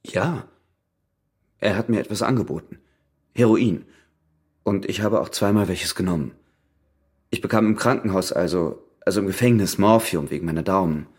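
Guarded, husky ex-convict. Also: male voice, low-pitched, normal speed, pauses often, a little mellow. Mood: evasive confession. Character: guarded, evasive male ex-convict husband under suspicion. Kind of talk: radio crime drama acting.

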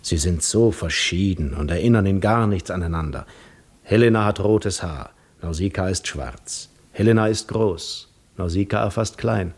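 An actor in a 1950s German radio play monologue, playing a restless, yearning seafarer lost in reverie, with lyrical, dramatic delivery that moves between hushed and fervent. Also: male voice, deep voice, normal speed, breathy edge. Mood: tender reverie.

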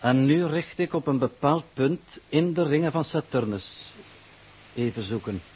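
An actor playing a formal, pedantic, coolly authoritative mission coordinator in 1960s radio drama style, speaking in measured, official phrases. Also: male voice, fairly high pitch, animated, a little mellow, slightly rough. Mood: measured, explanatory.

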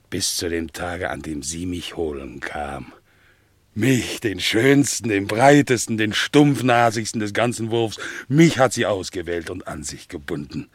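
A man playing a devoted, romantic and anxious bulldog in a 1960s German radio play, speaking lyrically with dramatic swells and hushes. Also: sits low, no room sound. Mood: proud, earnest, then exultant, proud.

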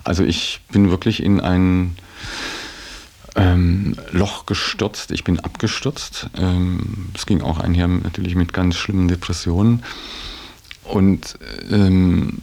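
A man's voice: sits low, level tone, takes it slowly, gravelly, a little mellow. A middle-aged man giving a reflective personal account in a hesitant way, searching for his words. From Belgian-German background. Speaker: interviewee.

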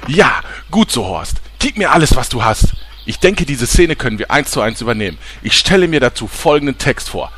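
Man acting a pompous, excitable film maker. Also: medium pitch, fairly quick, noisy background, natural voice. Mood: enthusiastic. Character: eager, self-important film maker scripting heroes' adventures. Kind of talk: comic audio drama acting.

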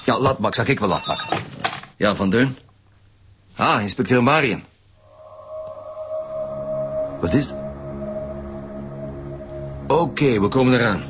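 A Flemish actor playing a brisk, impatient chief homicide detective in a radio drama, terse and commanding. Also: male voice, mid-range voice, lively intonation, over music, natural voice. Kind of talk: naturalistic radio drama dialogue.

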